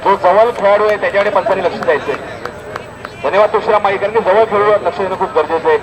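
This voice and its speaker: A man's voice: sits high, fast. A man giving live, informal cricket commentary at a village tournament, often repeating himself and addressing those around him. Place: outdoor cricket ground. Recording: noisy outdoor event with loud crowd. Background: crowd and outdoor ambience noise.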